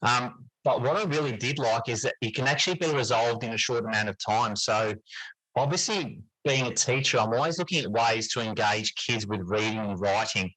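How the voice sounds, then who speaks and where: A middle-aged man talks as an interview guest, explaining things reflectively. His voice is bright and clear-edged, at an ordinary male pitch. The sound is dry, with very little room around it. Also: normal speed, no echo, rough recording. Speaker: interview guest, teacher and writer. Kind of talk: conversational interview answers.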